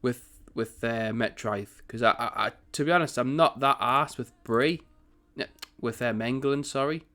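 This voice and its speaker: A man with a croaky early-morning voice talks casually over a strategy game. He rambles and corrects himself as he goes, in a middling pitch at a desk mic in his home setup. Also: moderate pace, few pauses, bright, forceful tone. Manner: chatty, rambling, self-correcting. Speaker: gaming channel host.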